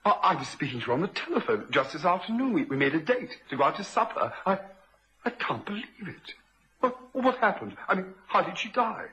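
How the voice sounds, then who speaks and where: A man playing an earnest, polite and anxious young man in a vintage radio drama, speaking in a halting, emotive way. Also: a little high, very animated, pushed and bright, poor audio. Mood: stunned. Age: young adult.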